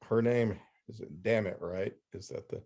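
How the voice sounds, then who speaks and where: A man hosting a podcast in casual conversation, explaining his thoughts in a halting, thoughtful way. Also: lowish voice, a little mellow. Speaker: podcast host. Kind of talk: casual interview chat.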